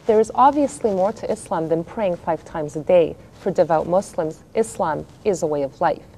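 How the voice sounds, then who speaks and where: A woman reporter reading a scripted news narration in a measured way. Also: lowish voice, a little mellow, soft background music.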